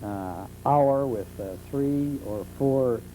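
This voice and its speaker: A middle-aged man being interviewed as a talk show guest, explaining things conversationally with hesitant pauses. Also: mid-range voice, warm tone. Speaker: talk show guest, old-time radio enthusiast. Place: television studio. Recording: muffled 1970s television tape with hum.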